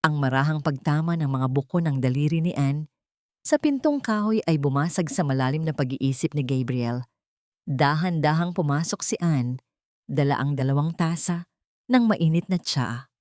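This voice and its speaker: Low-voiced woman narrating drama, dry recording. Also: expressive, normal speed, a little mellow, no echo. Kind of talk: scripted dramatic story narration.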